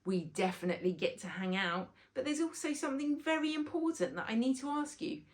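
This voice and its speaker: A woman's voice: sits high, expressive, brisk, voice pushed up. A woman voicing a kindly, earnest old grandad who is now a talking bee in a children's storybook.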